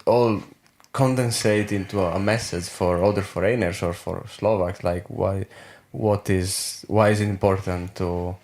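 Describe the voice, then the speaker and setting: A man hosting a podcast interview, asking long questions that he rephrases as he goes, in a halting way. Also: deep voice, fairly even intonation, darkish tone. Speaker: podcast host.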